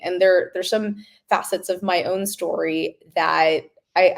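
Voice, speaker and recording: Slightly low-voiced American woman, thoughtful. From Los Angeles, United States. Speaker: podcast guest, company founder. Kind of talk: conversational interview answers.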